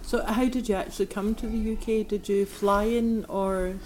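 A woman radio host asks her questions in a thoughtful, empathetic way. Her voice is level and steady, with a mellow warmth. A faint hiss runs underneath. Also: mid-range voice, normal speed, poor audio. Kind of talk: radio interview.

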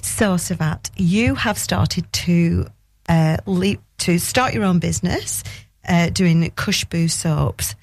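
A woman's voice: lowish voice, gravelly. Chatty middle-aged woman radio host. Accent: Northern English. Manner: chatty, enthusiastic, quick to interject and laugh. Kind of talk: casual radio chat.

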